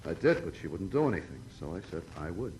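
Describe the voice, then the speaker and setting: A man's voice: deep voice, sing-song, fast, a little mellow. An actor playing a bitter, defensive, stubbornly protective tough guy in an old-time radio noir, using street talk. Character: defensive, bitter, protective older brother confessing to murder. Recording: noisy old radio drama recording.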